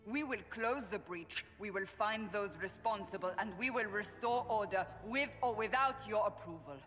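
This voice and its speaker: A woman's voice: medium pitch, moderate pace, a bit bright, soft background music, lightly acted. An actress playing a stern, devout warrior woman in a fantasy game, speaking in a formal, commanding and solemn way. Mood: resolute. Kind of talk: dramatic fantasy game acting. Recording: long reverb of a large hall.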